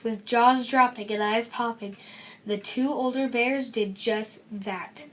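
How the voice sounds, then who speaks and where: A woman's voice: a little high, steady tone, slow, fairly bright tone. A woman narrating a children's storybook expressively as a read-aloud. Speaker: story reader.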